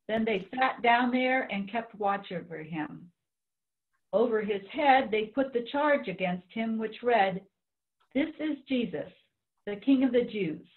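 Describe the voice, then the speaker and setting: A woman giving a dramatic scripture reading as one of several readers. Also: on the high side. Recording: thin phone-like audio.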